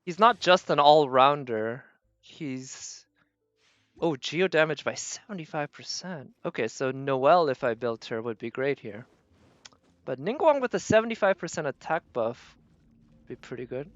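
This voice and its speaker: A man's voice: fairly high pitch, lively intonation, moderate pace, dry recording, dull audio. A man doing casual live game commentary, thinking aloud and trailing off as he goes. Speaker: game streamer.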